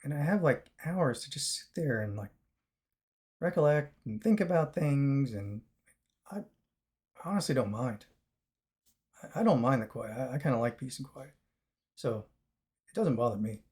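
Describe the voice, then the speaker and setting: A man with a raspy, chesty voice, recovering after a long fast, talking casually to his viewers in a rambling way with many fillers and restarts. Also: mid-range voice, lively intonation, a little mellow. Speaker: vlogger. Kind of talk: casual talk to camera.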